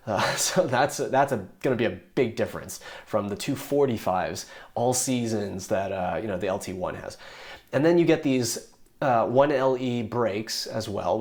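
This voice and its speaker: A young man with a hoarse, worn voice talks straight to his viewers in a casual, rambling way. He sits at an ordinary middle pitch and gets keen and excited as he goes. He keeps restarting his sentences and filling gaps with little fillers. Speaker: YouTube car reviewer. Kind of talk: casual explainer talk.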